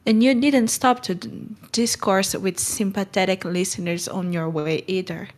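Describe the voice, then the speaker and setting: A woman's voice: mid-range voice, fairly even intonation, normal speed, natural voice. A woman playing a stern but kind middle-aged guardian in a storybook read-along, brusque and scolding. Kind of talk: acted audiobook read-along.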